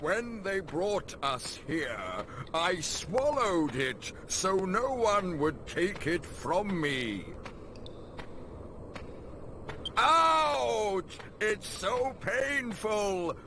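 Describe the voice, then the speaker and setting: Theatrical old prisoner, wildly swooping voice. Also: male voice, high voice, gravelly, bright, forceful tone, a little hiss or hum, full character voice. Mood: pained, whining. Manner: theatrical, formal, drawn-out delivery. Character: pleading elderly male prisoner, devout and self-sacrificing. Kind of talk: dramatic video game cutscene acting.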